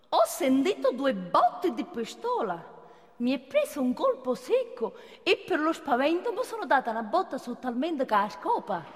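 A simple, earnest cleaning woman. She rambles colloquially in a high, swooping voice, in the manner of comic theatre. The recording has hall reverb and an audience behind her. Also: a bit bright, exaggerated voice. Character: simple, chatty cleaning woman recounting gory mishaps. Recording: echoey theatre, audience and background noise.

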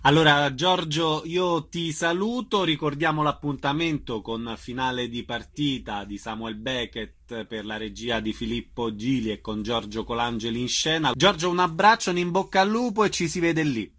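A man's voice: medium pitch, moderate pace, few pauses, a bit bright. A man hosting a radio interview, putting questions to his guests and presenting the programme.

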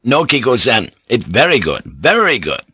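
Narrator guy doing a hearty foreign man, plain spoken, halting broken sentences, mid pitch, dry close sound. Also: huge pitch swings, sharp tone, husky, no echo, exaggerated voice. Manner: halting, simple broken phrases.

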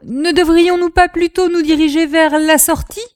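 High-pitched, gushing comedy lady. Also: steady tone, normal speed. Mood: eager. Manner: theatrical, flowery, exaggerated. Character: gushing, coquettish, earnest lady visitor. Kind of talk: 1940s-style radio comedy acting.

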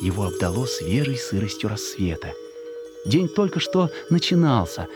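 A man's voice: fairly low pitch, very animated, moderate pace, over music. A man reading a literary story as a narrator, expressively and with emotion, in a scripted dramatic style.

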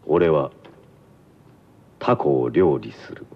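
A man's voice: rumbling low, expressive, slow, breathy edge. A man with a Kansai accent co-hosting a radio show in casual, joking banter. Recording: muffled lo-fi radio recording. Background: music.

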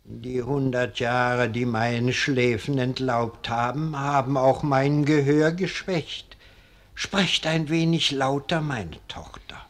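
A man's voice: medium pitch, fairly even intonation. An actor playing an ancient, pious abbot in a 1940s radio play, speaking in solemn, fatherly, archaic phrases. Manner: slow, solemn, archaic clerical speech. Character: ancient, pious abbot, hundred years old, grave and fatherly.

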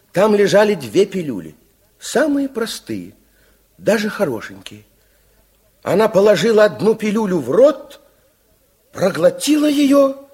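High-voiced, very animated male storyteller. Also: breathy edge. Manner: theatrical, storytelling. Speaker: narrator. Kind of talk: expressive scripted fairy-tale narration, 1960s radio play.

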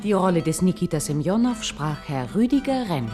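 A woman's voice: on the low side, sing-song, fairly quick. A woman announcer reading a scripted closing announcement for a radio play. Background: balalaika music.

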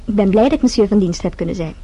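A woman's voice: medium pitch, lively intonation, very fast, dark tone, dull audio, a little hiss or hum, close to an everyday voice. An actress playing a deferential, slightly sly female hotel employee in a radio play, speaking politely and carefully.

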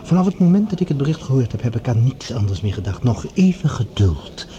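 A very dark, mellow-voiced professor, played by a man in a radio play. He is smooth and calculating, choosing formal, oratorical phrases. His voice rings in a roomy space. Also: mid-range voice, very animated, a little fast, highs cut off, slight background noise, lightly acted. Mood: polite condolence. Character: smooth, calculating male professor, grandly formal rival scientist. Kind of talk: radio drama acting.

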